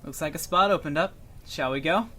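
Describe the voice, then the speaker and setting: A man's voice: fairly high pitch, brisk, sharp tone, slight background noise. A man playing an easygoing, joking agent and shooting instructor in an amateur anime-style audio drama. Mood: friendly.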